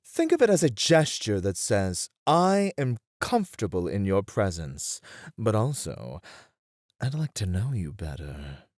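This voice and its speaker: A man playing a suave, playfully formal etiquette tutor in an ASMR roleplay, with theatrical, deliberate, flowery phrasing. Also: lowish voice, big pitch swings, darkish tone, no echo, studio quality. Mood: teasing, encouraging.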